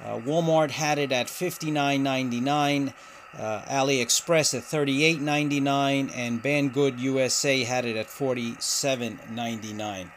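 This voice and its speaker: A man hosting a ham radio channel, giving a casual product review in a halting, hesitant way. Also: medium pitch, steady tone, slight background noise.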